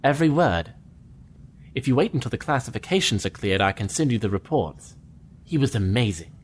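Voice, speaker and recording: A polite starship lieutenant with a lively, animated voice, played by a man. He speaks earnestly and measuredly in a fan audio drama, with faint noise underneath. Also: mid-range voice, a little fast.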